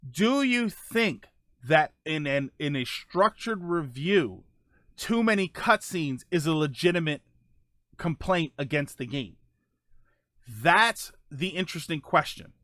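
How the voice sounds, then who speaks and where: A man's voice: on the high side, expressive, slow pace, a bit bright, no echo. A man hosting a casual live stream, thinking aloud while reading viewer comments, often restarting his sentences. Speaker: streamer host reading chat.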